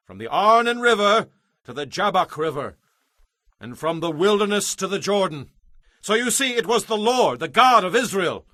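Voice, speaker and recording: An actor playing an indignant warrior commander in an audio Bible drama, declaiming forcefully. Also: male voice, high-pitched, no echo, studio quality, exaggerated voice. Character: indignant warrior commander arguing his people's case.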